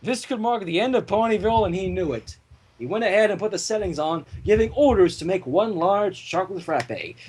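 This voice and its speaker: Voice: mid-range voice, animated, a little fast, a little mellow, a little hiss or hum, rough recording. A narrator reading fan fiction aloud in a dramatic, theatrical storytelling manner. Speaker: narrator and reader.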